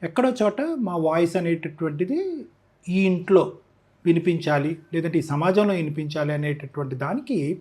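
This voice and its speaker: A man with a Telugu accent and a husky voice, explaining his points emphatically as an expert guest in a conversational interview. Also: on the high side, expressive, moderate pace, dark tone. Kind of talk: conversational interview commentary mixing Telugu and English.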